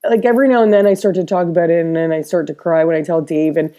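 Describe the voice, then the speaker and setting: A woman's voice: fairly low pitch, steady tone, dark tone. A woman with a stuffed-up nose talking in a casual personal vlog, rambling with frequent restarts. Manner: rambling, halting, many restarts. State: stuffed-up nose, slight cough. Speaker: interior designer vlogger.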